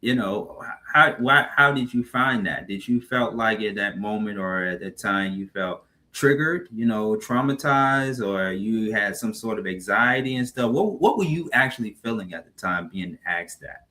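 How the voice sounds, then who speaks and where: A man's voice: lowish voice, lively intonation, moderate pace, hardly any pauses, sharp tone. A man co-hosting a casual talk show, reacting and asking probing questions about feelings. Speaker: show co-host. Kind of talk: casual podcast chat.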